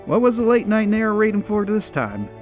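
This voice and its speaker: A man voicing a gentle bat pony father in an animated fan audio drama, teasing playfully in a fatherly way. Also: high-pitched, normal speed. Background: faint music.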